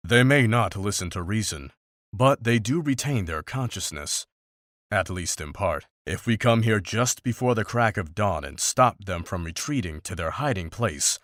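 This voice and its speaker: A man playing a stern, pragmatic older monster hunter in a fantasy visual novel, speaking in a formal, measured, unsentimental way. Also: on the low side, lively intonation, fairly bright tone, dry recording, studio quality. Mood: measured, instructive.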